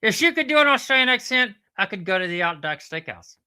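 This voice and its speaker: A man puts on a talking platypus for puppet comedy, pitched high and very bright. The creature is touchy and whiny, hammering his points in exasperated, repetitive bursts. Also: very animated, fast talker, clean tone, heavily acted. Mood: complaining. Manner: exasperated, emphatic, repeats himself.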